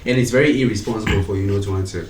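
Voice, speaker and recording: A young man, a podcast guest talking in a relaxed way with short agreeing replies. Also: on the low side, rapid-fire, a crowd in the background. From Zimbabwe. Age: young adult. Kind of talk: casual podcast discussion. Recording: echoey room with faint noise.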